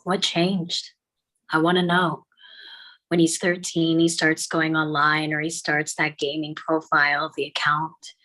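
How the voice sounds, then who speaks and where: A woman playing a hurt mother in a drama table read, pressing insistently for answers in a breathy voice. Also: sits low, monotone, a little slow, pushed and bright, low-quality recording.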